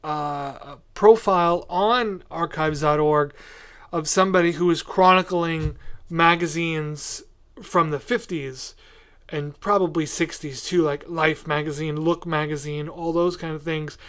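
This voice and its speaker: A man's voice: a little high, fairly even intonation, a little slow, a bit bright. A man hosting a podcast, chatting casually with a guest in an interview style. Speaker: podcast host.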